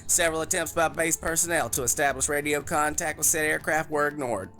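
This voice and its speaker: A man voicing an officious, well-groomed government interrogator in a suit, with a brisk, clipped, bureaucratic manner, in an audiobook. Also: on the high side, steady tone, pushed and bright, faint music behind, pitched above the performer's own voice.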